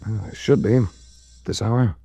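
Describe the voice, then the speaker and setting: A man's voice: on the low side, measured pace, creaky, dark tone, slightly rough, exaggerated voice. An American man playing a suave, shrewd adventurer-detective in a 1940s radio drama, speaking with dry, worldly understatement. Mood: calm, focused. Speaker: lead actor and first-person narrator in a radio detective series.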